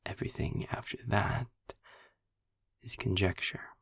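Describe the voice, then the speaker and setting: Sarcastic male demon for intimate audio roleplay, played by a man: low, slow, darkly amused, deliberate storyteller. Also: fairly even intonation, fairly bright tone, dry recording, clean studio sound, full character voice. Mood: wry, intrigued. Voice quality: low, breathy, gravelly near-whisper. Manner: sarcastic, wordy, deliberate storytelling with dramatic pauses. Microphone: close microphone in a dry, quiet space.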